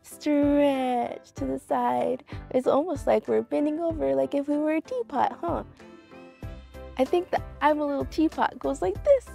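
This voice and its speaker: High-pitched, creaky-edged woman hosting children's story time. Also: mellow. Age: young adult. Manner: exclamatory, encouraging, addressing children directly. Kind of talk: upbeat children's read-along presenting.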